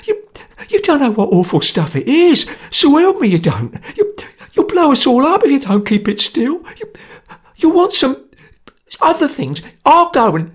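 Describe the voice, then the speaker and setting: A man voicing a panicky, cowardly male anarchist, stammering and squeaking in fright. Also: wildly swooping pitch, a little slow, heavily acted. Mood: terrified.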